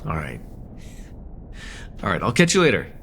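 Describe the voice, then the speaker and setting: A man playing an affectionate, teasing young chemistry student in a casual, intimate one-sided roleplay, talking with hesitant, soft-hearted banter. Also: low-pitched, huge pitch swings, normal speed, creaky, a little hiss or hum, full character voice. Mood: playful. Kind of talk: slice-of-life ASMR roleplay, intimate one-sided dialogue.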